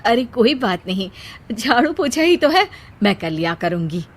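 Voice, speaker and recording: A woman's voice: on the high side, sing-song, moderate pace. A woman voicing a kind, forgiving elder sister in a cartoon moral story, speaking in an obliging way. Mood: kindly, obliging. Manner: kindly, obliging.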